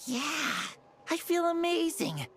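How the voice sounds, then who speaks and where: A woman playing an earnest, frail young contestant in a video game, halting and full of wonder. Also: very high-pitched, big pitch swings, heavy background noise, full character voice. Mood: excited wonder.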